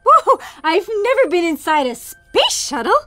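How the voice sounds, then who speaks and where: A woman playing an excitable, wide-eyed kid on a space adventure, eager and exclaiming, in an audio drama. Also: extremely high pitch, unhurried, no room sound, heavily acted. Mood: amazed. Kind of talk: sci-fi audio theatre acting.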